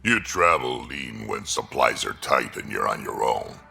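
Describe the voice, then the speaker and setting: An actor playing a gruff old alien grandfather and colony veteran in a video game, blunt and wry with a very gravelly voice. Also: expressive, measured pace, sharp tone, soft background music, full character voice. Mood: resolute. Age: older. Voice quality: very gravelly, rumbling. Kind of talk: video game dialogue acting.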